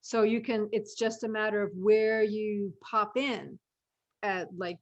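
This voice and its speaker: Lady chatting on a panel, medium pitch, thinks out loud, kinda halting. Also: steady tone, highs cut off. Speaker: writer and panel guest. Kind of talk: casual panel discussion.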